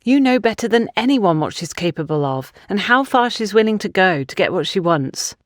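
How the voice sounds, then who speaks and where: Composed female lead read by a woman narrator, earnest and measured, quietly probing, audio mystery, dry sound. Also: medium pitch, lively intonation, no room sound, pitched above the performer's own voice, lightly acted. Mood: probing, calm. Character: composed, probing woman with a grievance against her family.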